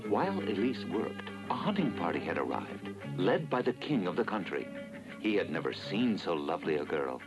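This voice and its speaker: A man narrating a cartoon fairy tale in a dramatic, expressive storytelling style over loud music. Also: low-pitched, moderate pace, poor audio.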